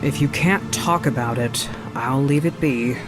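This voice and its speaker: A woman's voice: very deep voice, unhurried. A woman voicing a confident female bat agent, concerned and teasing, in a comic dub. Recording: loud music behind the voice.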